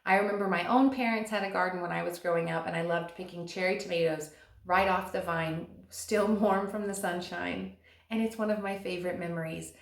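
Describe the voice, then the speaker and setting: A woman in her thirties giving earnest, encouraging advice to camera, slowing down to stress key words. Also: on the low side, fairly even intonation, gravelly. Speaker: vlogger and stay-at-home mom. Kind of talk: scripted advice talk to camera. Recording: echoey room.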